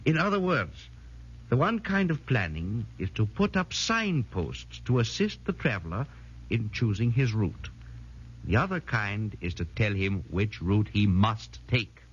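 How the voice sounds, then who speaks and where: An actor playing an earnest foreign professor who lectures formally and emphatically in a 1940s radio drama. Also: male voice, on the low side, sing-song, a little slow, slightly rough, full character voice. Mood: emphatic, lecturing. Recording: muffled 1940s radio broadcast.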